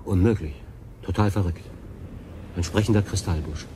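An actor playing a bewildered, stranded lone sailor in a radio play, halting and doubting his own sanity. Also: low voice, background noise, natural voice. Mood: shocked, disbelieving. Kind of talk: German radio drama acting.

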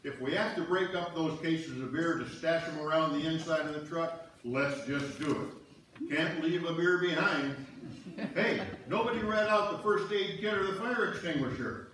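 Hearty, folksy fishing buddy played by a man, eager, slightly high voice, distant echoey stage recording. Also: normal speed, fairly bright tone, slight background noise, close to an everyday voice. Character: Murph, eager, easygoing beer-loving ice fisherman. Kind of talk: amateur reader's theater, read from script. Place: on stage in a church, audience seated. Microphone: far camera. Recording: echoey church hall, distant camera.

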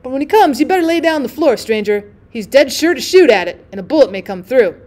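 A woman voicing a calm male barkeeper who speaks in a slow, matter-of-fact drawl. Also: sits high.

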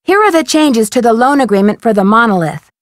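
A young woman playing an inquisitive, polite, persistent amateur detective in a video game, with a crisp, questioning delivery. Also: a little high, expressive, brisk, no room sound, studio quality, natural voice. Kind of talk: video game dialogue acting.

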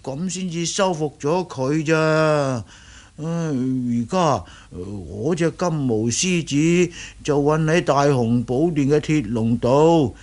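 A cringing young disciple in a radio play. His voice is high and slow, full of stammers and exclamations. He boasts and grovels in the same breath. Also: a little mellow. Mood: boastful. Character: servile, boastful, cowardly young Shaolin disciple man. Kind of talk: Cantonese radio drama acting.

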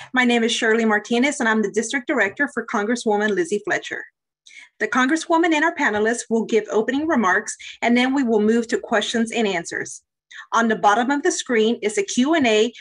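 A woman, a district director, reads a polite, formal scripted welcome to open a town hall. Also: fairly high pitch.

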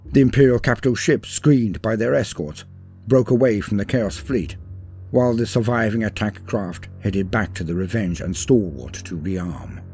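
A man reading a scripted war story as a dramatic narrator, grave and theatrical, in measured phrases. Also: lowish voice, warm tone, slightly rough. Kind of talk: dramatic scripted lore read-through. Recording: voice-over with music behind. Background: music.

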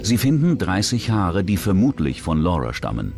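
A man narrates a scripted true-crime documentary. His voice sits low and moves through animated intonation. Music plays clearly underneath the narration. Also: normal speed. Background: background music.

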